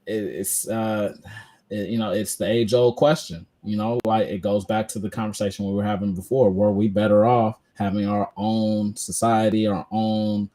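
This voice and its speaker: A man's voice: on the low side, flat delivery, moderate pace, warm tone. A man co-hosting a podcast, talking in a loose, rambling way with many fillers. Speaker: podcast co-host. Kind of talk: casual chat.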